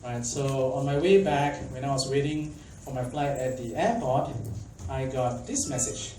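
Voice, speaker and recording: Young man from Malaysia, casual conference speaker, chatty, lots of fillers, quizzes the crowd; dark tone, faint background noise. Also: mid-range voice, a little slow. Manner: chatty, filler-heavy, asks the audience questions. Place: conference hall. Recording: echoey hall, mic at a distance, faint background noise.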